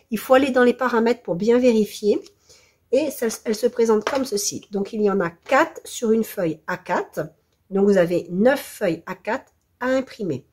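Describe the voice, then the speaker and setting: A French woman with a hoarse voice from the flu, presenting a scrapbooking tutorial in a hesitant, apologetic way. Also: mid-range voice, a little mellow. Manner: hesitant, apologetic, self-deprecating. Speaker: scrapbooking video host.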